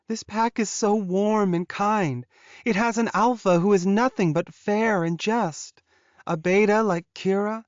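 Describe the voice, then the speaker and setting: A man's voice: high voice, no echo, lo-fi sound, voice pushed up. A man voicing an emotional young female werewolf, pleading and earnest, as she confesses a painful past in an audiobook. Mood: earnest, grateful.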